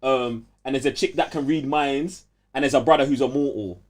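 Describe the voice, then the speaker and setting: A man's voice: medium pitch, brisk. A young man with a London accent co-hosting a podcast, chatting in heavy street slang and telling stories emphatically. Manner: animated, emphatic storytelling. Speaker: podcast co-host.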